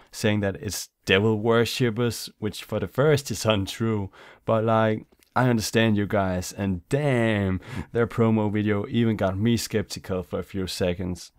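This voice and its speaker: A man's voice: lowish voice, darkish tone, clear, resonant tone, no echo. A young man whose first language is Danish, talking casually in English over game footage, laid-back and chatty. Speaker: YouTuber. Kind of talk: casual commentary over gameplay.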